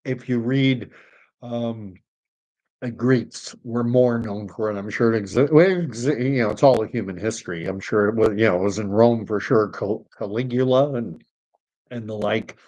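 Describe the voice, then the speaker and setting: A man's voice: lowish voice, moderate pace, a little mellow, highs cut off. A middle-aged man from Canada hosting a live stream, rambling with many fillers as he reads chat comments, his voice wearing out. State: losing his voice.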